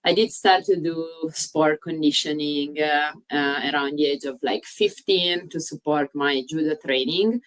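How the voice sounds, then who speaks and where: A low-voiced woman in her thirties speaks with an Italian accent, sounding a little distant from the microphone. She tells her story as an interview guest, stopping often on "uh" and phrasing things like a non-native speaker. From Italy. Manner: frequent "uh" pauses, slightly non-native grammar. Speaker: guest, fitness coach. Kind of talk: casual interview chat.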